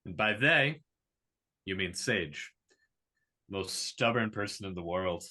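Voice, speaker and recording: A man's voice: low voice, animated, takes it slowly, sharp tone, heavily acted. A man reading the part of an awkward, privileged young heir in a drama table read, talking in a casual, rambling way. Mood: defensive.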